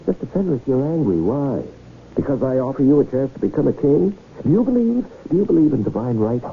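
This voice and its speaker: An actor playing a smooth, scheming gentleman con artist in a radio drama, with courtly, halting salesman's patter. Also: medium pitch, sing-song. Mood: persuasive. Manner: hesitant, courtly salesman's patter with deliberate pauses. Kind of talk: 1970s radio drama acting. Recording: muffled, faintly noisy old radio broadcast.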